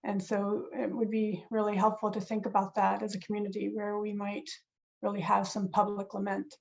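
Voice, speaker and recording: A woman's voice: mid-range voice, monotone, moderate pace, vocal fry, darkish tone, muffled recording. A woman moderating a panel discussion, earnest and reflective, often restarting her phrases. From Korea. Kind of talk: webinar moderation. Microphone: video call.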